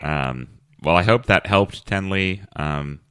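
A man hosting a comedy podcast, talking in a dry, halting way full of fillers. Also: low voice, a bit bright, clear, resonant tone, no echo. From Kentucky. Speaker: podcast host. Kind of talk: deadpan comedic podcast chat.

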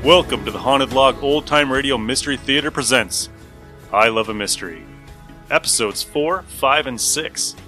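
Bright-voiced casual podcast host man. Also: mid-range voice, normal speed, faint music behind. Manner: friendly, informal.

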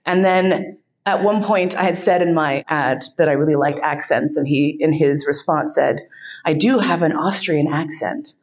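A woman's voice: sits low, moderate pace, lo-fi sound. A woman giving a keynote speech, telling a frank, profane story and laughing often.

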